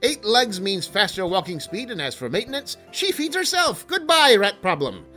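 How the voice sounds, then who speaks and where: A man hosting a comedy sketch in the style of a mock infomercial, with a theatrical, sarcastic pitchman delivery. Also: big pitch swings, moderate pace, pushed and bright, faint music behind.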